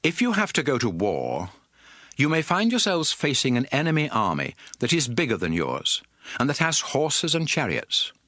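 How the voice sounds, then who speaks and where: An actor playing a solemn, commanding lawgiver in a dramatized audio Bible, speaking in an emphatic, oratorical way. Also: male voice, mid-range voice, huge pitch swings, normal speed, bright, forceful tone, no room sound, studio quality, heavily acted. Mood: rousing, urgent. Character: solemn, commanding male lawgiver addressing his people. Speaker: scripture narrator playing a prophet and lawgiver. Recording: music bed under the voice. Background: music.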